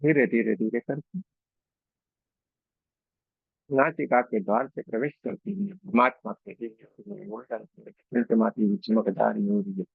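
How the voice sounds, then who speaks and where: A man guides a meditation in a medium-pitched voice with a noticeable creak. He gives slow, soothing instructions, repeating phrases and leaving pauses. The recording sounds slightly dull and muffled. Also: sing-song, no room sound.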